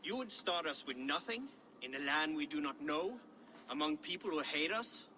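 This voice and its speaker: Casting: challenging Viking clansman, fairly high bright voice, skeptical, game drama. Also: lively intonation, moderate pace, slight background noise. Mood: indignant. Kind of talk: dramatic game cutscene acting.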